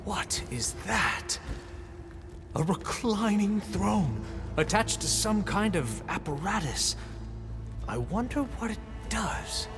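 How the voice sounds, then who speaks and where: An actor playing a grandiose leader in a video game who believes he is a reborn ancestor, speaking in a pompous, theatrical, deliberate way. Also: sits high, expressive. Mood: curious. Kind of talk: video game cutscene acting. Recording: long hall reverb, music behind.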